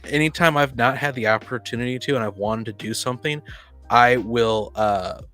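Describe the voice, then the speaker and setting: A man's voice: mid-range voice, steady tone, moderate pace, sharp tone, faint music behind. A man in a casual podcast discussion, blunt and opinionated, often stumbling and repeating his words. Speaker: podcast panelist. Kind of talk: casual opinionated discussion of tabletop roleplaying games.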